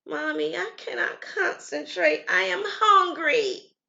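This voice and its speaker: A woman's voice: high voice, wildly swooping pitch, slow pace, vocal fry, bright, forceful tone, dull audio, pitched above the performer's own voice, heavily acted. A woman voicing a hungry, fussy young girl who whines and pleads in a story. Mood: fussy, whining. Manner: whiny, pleading.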